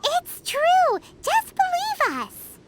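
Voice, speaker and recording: A woman's voice: very high-pitched, wildly swooping pitch, drawn-out words, heavy background noise, full character voice. A woman voicing a tiny, childlike fairy companion in a video game, perky and blunt. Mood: cheerful, encouraging.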